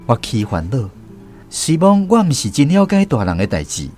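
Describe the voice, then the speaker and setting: A man's voice: mid-range voice, huge pitch swings, normal speed, a little mellow, soft background music. A man playing a grown storyteller reflecting on his boyhood, narrating a radio drama with a Taiwanese accent. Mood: reflective.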